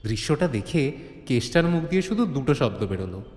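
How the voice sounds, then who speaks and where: A man's voice: mid-range voice, sing-song, fairly quick, a little mellow. A man narrating a scripted horror-comedy story in a theatrical, suspenseful style. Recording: reverberant, faint ambient noise.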